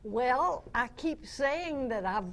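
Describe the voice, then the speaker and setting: Slow-talking woman in her nineties. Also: high-pitched, lively intonation. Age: 90s. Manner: chuckling, folksy, halting. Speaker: veteran radio actress, guest speaker. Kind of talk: reminiscing before a club audience. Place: club meeting room. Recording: noisy amateur meeting recording.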